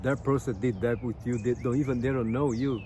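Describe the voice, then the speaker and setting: A man from Brazil with a Brazilian Portuguese accent, speaking English as a second language, sharing personal stories in an earnest, halting way and often asking "you know what I mean?". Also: medium pitch, steady tone, background noise. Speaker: massage therapist sharing life lessons. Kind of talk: casual personal talk to viewers.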